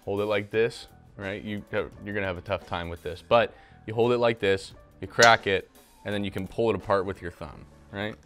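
A man's voice: fairly low pitch, steady tone, normal speed, fairly bright tone, soft background music. A young man hosting a casual home cooking show in a kitchen, chatting upbeat while talking to a silent mascot beside him, on a lapel mic. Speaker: host.

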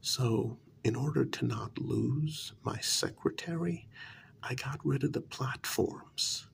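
A man playing a cajoling talent agent in a soft-spoken ASMR role-play, half-whispering gently and coaxingly, close to the microphone. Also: mid-range voice, gravelly, a little hiss or hum. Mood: confiding. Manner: gentle, coaxing, deliberate with pauses. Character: short, cajoling male talent agent to monster women.

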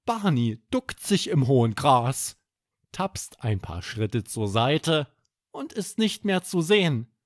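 A man hosting a children's podcast, narrating a bedtime story in an expressive, dramatic way. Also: mid-range voice, slow pace, dry recording, clean studio sound.

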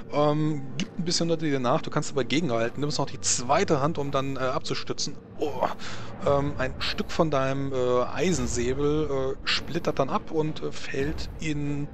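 A man running a tabletop combat as game master, talking casually and a bit haltingly as he narrates. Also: on the high side, noisy background. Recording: music bed behind voice. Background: background music.

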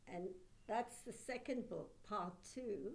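A woman's voice: mid-range voice, creaky, darkish tone. Older Anglo-Burmese woman, reflective storyteller. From Burma. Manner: unhurried, reflective storytelling with pauses. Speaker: author. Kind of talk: interview answers. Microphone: table mic on a stand.

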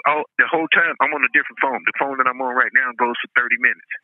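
A man's voice: medium pitch, fairly even intonation, racing. A man calling in by telephone, talking casually and reflectively in a philosophical way, repeating himself for emphasis. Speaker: guest calling by phone. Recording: tinny, echoey telephone line.

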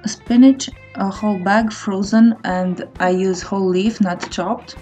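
Slow-paced woman narrating a recipe. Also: mid-range voice, fairly even intonation, dark tone. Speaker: cooking vlogger. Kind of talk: casual recipe voice-over. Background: faint guitar music.

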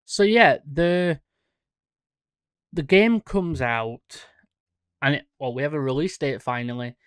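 Slow-talking young man, rambling commentary. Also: fairly high pitch, fairly bright tone, clean tone, no echo, clean studio sound. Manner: rambling, self-correcting, many fillers. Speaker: horror channel host. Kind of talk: casual reaction commentary. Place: small room indoors at a desk. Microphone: studio mic on stand in front.

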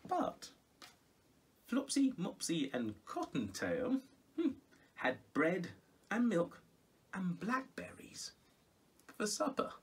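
A middle-aged man telling a children's story in a theatrical, expressive way. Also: high voice, takes it slowly, pauses often, husky. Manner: theatrical, expressive, with hushed whispers and exclamations. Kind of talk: animated storybook reading. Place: small home music room indoors.

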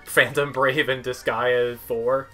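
A young man giving casual, offhand gameplay commentary as he demos game characters, with a hesitant, halting delivery. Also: mid-range voice, little pitch movement, pushed and bright. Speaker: gamer demoing video game characters. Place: indoor room at home, seated. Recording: game music behind the voice. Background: video game music.

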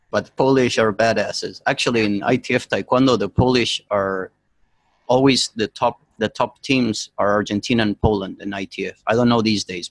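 A young man with a low, gravelly voice hosts a relaxed podcast conversation, joking and getting animated as he goes. He is recorded close and dry in a small room. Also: dry recording, rough recording. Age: young adult. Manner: joking, animated, laughs often. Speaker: podcast host. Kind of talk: casual chat.

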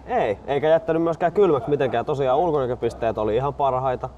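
Male co-host, twenties, relaxed conversational delivery, mid pitch, faint outdoor noise. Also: muffled recording. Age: 20s. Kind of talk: casual chat. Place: outdoors at a golf club.

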